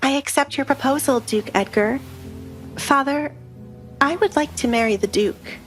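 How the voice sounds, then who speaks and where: Woman voicing a composed young noblewoman, raised pitch. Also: normal speed, lightly acted. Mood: resolute. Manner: formal, restrained. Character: composed, formal young noblewoman, quietly defiant. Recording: background music under the voice.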